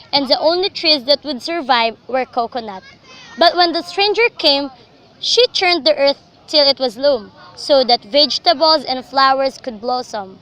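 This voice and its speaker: A woman's voice: high voice, pushed and bright, slight background noise, poor audio. A young woman reading scripted narration for a radio drama in a storytelling style. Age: young adult.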